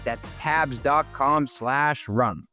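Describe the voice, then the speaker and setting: A man's voice: medium pitch, drawn-out words, pushed and bright, faint music behind. A man co-hosting a business podcast, talking casually in informal, colloquial language. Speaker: podcast co-host.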